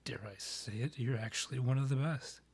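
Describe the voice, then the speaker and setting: Man acting vampire royal, breathy, medium pitch, haughty, formal, measured, condescending, romantic ASMR audio drama. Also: darkish tone. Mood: persuasive, composed. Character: haughty male vampire monarch, proud rival and ex-lover.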